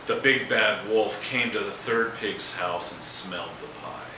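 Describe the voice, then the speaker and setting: A man's voice: fairly low pitch, a little slow. A man reading a children's storybook aloud as a storyteller, adding asides. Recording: echoey, distant, noisy room recording.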